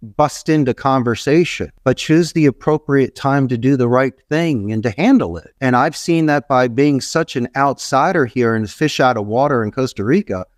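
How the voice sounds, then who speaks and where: A man's voice: mid-range voice, normal speed, few pauses, no echo, clean studio sound. A middle-aged man from Philadelphia, a guest in an interview, giving rambling motivational business advice with emphatic, repeated phrases. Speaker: podcast guest, call center entrepreneur.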